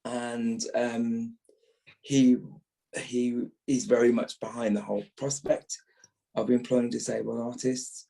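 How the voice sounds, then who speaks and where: A man's voice: mid-range voice, level tone, takes it slowly, vocal fry, mellow, poor audio. A middle-aged or older British man, an actor being interviewed, talking reflectively and repeating phrases as he thinks.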